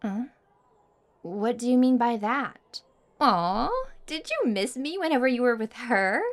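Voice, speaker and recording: Woman acting a haughty, high-voiced popular girl. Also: animated, unhurried. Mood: smug, teasing.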